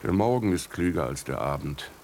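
An actor playing a contemplative writer narrating his own cat story in a radio drama, measured, musing and wry. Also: low voice, animated, darkish tone. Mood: wry, reflective. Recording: typewriter clicks and noise behind the voice.

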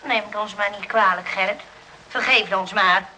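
A woman playing a prim, moralising but soft-hearted nursing sister in a radio play, earnest and fretful. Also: mid-range voice, fairly even intonation, normal speed, a little hiss or hum, poor audio. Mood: apologetic, anxious.

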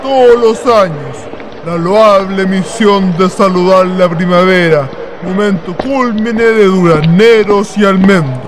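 Slow, high-voiced dreamy young writer, played by a man. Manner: measured, literary. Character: enthusiastic, dreamy young male writer, loyal friend. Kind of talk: radio drama acting. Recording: clear ambient noise behind.

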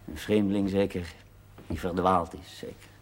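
A man's voice: deep voice, fairly even intonation, moderate pace, lightly acted. An actor with a Dutch accent playing a sardonic, evasive husband and new father in a period television drama, with a dry, brusque manner. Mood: defensive, bitter. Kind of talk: naturalistic television drama acting. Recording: noisy 1970s television soundtrack.

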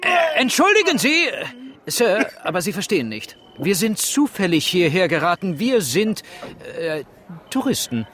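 An actor playing a proper, rational English gentleman traveler in a comic radio drama, polite and pedantic in manner. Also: high voice, very animated, unhurried, full character voice. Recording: music behind the voice.

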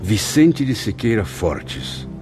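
An actor playing an earnest, inquisitive spirit apprentice in a radio drama, formal, with a Brazilian accent. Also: male voice, lowish voice, big pitch swings, slow pace, over music. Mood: earnest, wondering. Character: earnest, inquisitive male spirit apprentice recalling his experiences.

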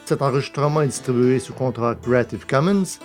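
A man's voice: medium pitch, moderate pace. A man reading scripted credits as the announcer of an audio drama. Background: plucked guitar music.

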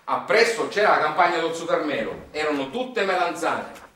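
A man's voice: fairly high pitch, lively intonation, normal speed, bright, forceful tone. A man with a Sicilian accent delivers a theatrical monologue as a stage storyteller, in a folksy way with dialect words. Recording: very echoey room, distant mic.

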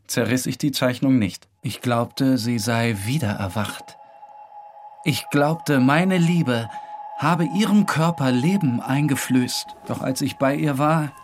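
A man playing a disturbed, morbid painter who narrates in a brooding, deliberate literary monologue. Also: medium pitch, lightly acted.

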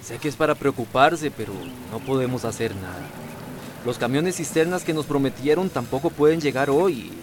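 An actor playing an earnest, supportive health worker, businesslike and respectful, in a radio soap opera. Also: male voice, medium pitch, normal speed, noisy background, natural voice.

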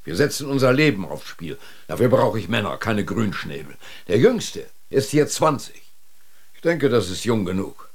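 An actor playing a stern, dismissive polar expedition commander in a radio drama, clipped and condescending with a husky voice. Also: male voice, on the low side, big pitch swings, normal speed, heavily acted. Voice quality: husky, breathy.

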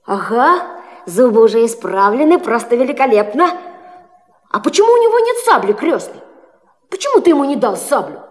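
A woman's voice: fairly high pitch, sing-song, normal speed. An actress playing a curious, tender-hearted little girl who questions eagerly in a fairy-tale audio play.

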